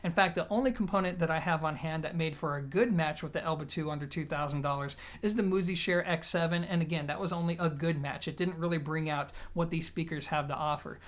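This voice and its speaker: A man's voice: on the high side, fast talker, few pauses. A man presenting an audio gear review, talking conversationally and directly to his viewers. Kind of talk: product review talk to camera.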